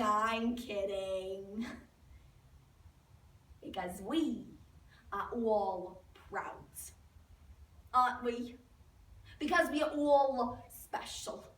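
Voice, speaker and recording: A woman playing a proud, nervous middle-aged suburban mother in a theatrical comic monologue, effusive and emphatic, with dramatic pauses. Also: fairly high pitch, a bit bright. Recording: echoey room, distant microphone.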